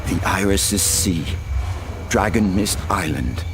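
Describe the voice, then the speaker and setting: A slow, low-voiced grave elven king. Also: vocal fry, noisy background, heavily acted. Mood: grave, pleading. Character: grave, regretful elven king, commanding yet dying. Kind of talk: dramatic video game cutscene acting. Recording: loud game music behind.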